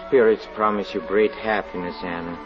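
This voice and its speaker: An actor playing an anguished, haunted fortune teller in an old radio drama, halting and brooding as he confesses. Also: fairly low pitch, steady tone, close to an everyday voice. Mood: fearful, hesitant. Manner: halting, brooding, repeats words with dramatic hesitation. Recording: dull vintage radio recording, music underneath.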